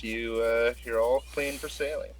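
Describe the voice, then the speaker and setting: A man's voice: mid-range voice, fairly even intonation, measured pace, slight background noise. A man acting as game master, talking players through a tabletop role-playing game.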